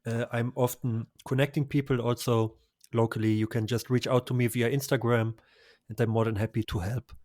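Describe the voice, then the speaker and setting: A man's voice: medium pitch, flat delivery, a little fast, darkish tone, dry recording. Enthusiastic male travel guest, dry sound. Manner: enthusiastic, effusive recommendations with small laughs. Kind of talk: conversational travel interview.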